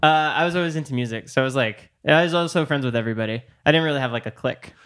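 A young man from New Jersey with a New Jersey accent, a guest chatting casually in a relaxed way with short replies. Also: medium pitch, very fast, smooth. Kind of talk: casual chat.

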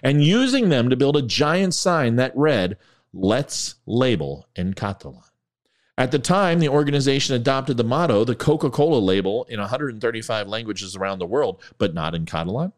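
A man's voice: medium pitch, expressive, normal speed. A man reading out facts in a commentary video, adding offhand jokey asides and stumbling over foreign names.

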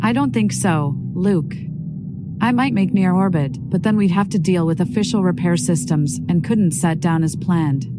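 An actress playing a determined young princess who leads a rebellion, speaking firmly and with authority. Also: female voice, lowish voice, natural voice. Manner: firm, commanding. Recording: loud background noise.

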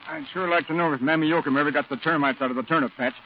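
Man as a quick-talking senior Marine officer. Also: on the high side, fairly even intonation, lightly acted. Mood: relaxed, joking. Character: decisive, easygoing male senior Marine officer. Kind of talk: 1940s radio drama acting. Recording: muffled, noisy vintage radio broadcast.

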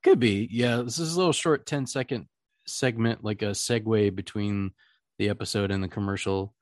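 A man with a fairly low voice chats as a podcast host. His delivery is casual and meandering, with hesitant searching for words. The sound is dry, with little room in it. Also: normal speed, a little mellow, no room sound. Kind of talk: casual chat.

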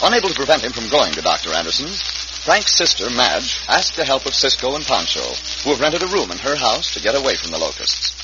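A man's voice: mid-range voice, big pitch swings, a little fast, background noise. A man narrating a radio drama as announcer, in a theatrical, emphatic vintage style. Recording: muffled old radio recording. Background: loud dramatic music.

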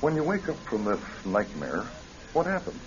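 An actor in a radio drama playing a male psychiatrist, questioning a patient in a measured, professional manner. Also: on the low side, wildly swooping pitch, a little hiss or hum. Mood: calmly probing. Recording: dull old radio recording with music.

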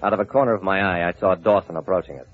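An actor playing a shrewd, hard-boiled investigator in an old-time radio drama, wry, clipped and confident. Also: male voice, low-pitched, racing. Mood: wry, brooding. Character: shrewd, hard-boiled male investigator telling his case. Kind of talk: old-time radio detective narration and acting. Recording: muffled vintage radio recording.

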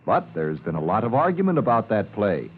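A man's voice: on the low side, sing-song, a little fast, a little hiss or hum. A man narrating a scripted serial as an announcer in an old-fashioned radio style. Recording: thin, narrow vintage radio recording.

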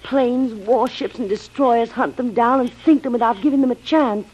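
A woman's voice: fairly high pitch, moderate pace, darkish tone, husky. An actress playing an anxious woman castaway in an old radio serial, pleading earnestly. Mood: fearful, pleading. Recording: muffled old radio recording.

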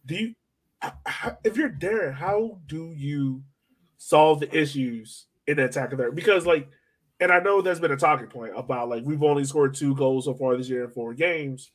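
A man's voice: on the high side, normal speed. A man in a casual sports podcast discussion, thinking aloud and posing questions in a tentative way. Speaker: soccer podcast co-host.

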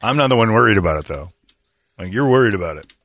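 A man's voice: lowish voice, lively intonation, fast talker, a bit bright, no echo. A man hosting a morning radio show, joking and laughing through casual banter.

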